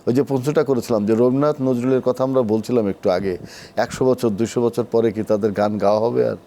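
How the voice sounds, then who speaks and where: A man's voice: mid-range voice, steady tone, fast, warm tone. A man with a Bangladeshi accent hosts a cultural talk show, speaking conversationally as he puts questions to his guest. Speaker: TV talk show host, poet. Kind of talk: conversational cultural interview hosting.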